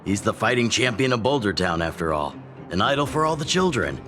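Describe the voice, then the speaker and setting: A man's voice: lowish voice, very animated, a little fast, over music. A man voicing a weathered, middle-aged fighting master in a video game, musing unhurriedly and fondly about his pupil like an uncle. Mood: fond, wry. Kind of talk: video game dialogue, reflective storytelling.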